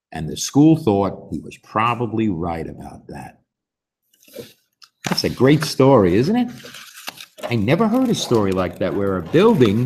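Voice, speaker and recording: A man in his sixties with a husky voice, reading a picture book aloud to young children in an expressive, unhurried way. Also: fairly low pitch. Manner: expressive, unhurried, with emphatic swings. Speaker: story time host reading aloud. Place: school library, indoors.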